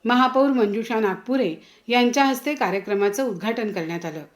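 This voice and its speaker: A woman's voice: fairly high pitch, brisk. A woman presenting a newsreel in scripted news narration.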